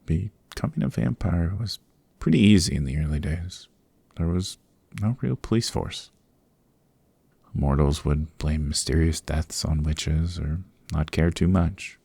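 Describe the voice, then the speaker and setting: A man playing a playful, theatrical vampire over a century old in a chatty ASMR roleplay, with a gravelly, husky voice and rambling asides. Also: very deep voice, unhurried, warm tone, no room sound, full character voice. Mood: confiding, storytelling. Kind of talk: ASMR roleplay, close and conversational. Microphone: close, dry microphone.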